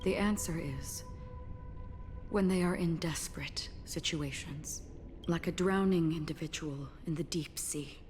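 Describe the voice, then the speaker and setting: An actress voicing a calm, mysterious woman in a game, speaking softly and solemnly as a guide for lost souls. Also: low-pitched. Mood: grave, resolute. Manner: measured, soft, hushed, solemn. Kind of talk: scripted game dialogue. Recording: game audio under loud music.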